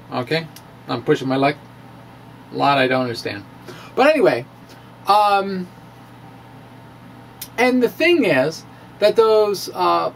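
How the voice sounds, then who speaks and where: Middle aged guy doing dramatic science vlog, slow w lots of pauses, swoopy pitch, bit of background noise. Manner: theatrical, emphatic, with halting pauses. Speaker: science vlogger presenting a physics monologue. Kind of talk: dramatic reading mixed with casual asides. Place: small indoor room with bookshelves. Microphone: handheld-style microphone on stand close in front.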